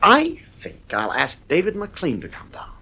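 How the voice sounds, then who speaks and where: Exasperated, strict father, very varied pitch. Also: wildly swooping pitch, measured pace, gravelly, pushed and bright, breathy edge, exaggerated voice. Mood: worried, weary. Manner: stern, irritable, emphatic. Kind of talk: radio play acting.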